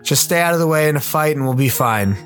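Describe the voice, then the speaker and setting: A man's voice: a little high, expressive, fast talker, a bit bright, soft background music. A man playing a curt, weary cook who impatiently leads a dangerous expedition in a radio play. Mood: curt, commanding. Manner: bossy, impatient. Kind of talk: radio play character acting.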